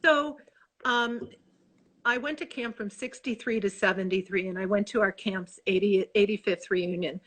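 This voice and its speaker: An effusive woman in her sixties with a Chicago accent chats on a video call. She rambles and cuts herself off as she talks about her book, with a mid-range pitch. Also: moderate pace. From Chicago, USA. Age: 60s. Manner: effusive, rambling, self-interrupting. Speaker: author guest. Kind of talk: casual interview chat.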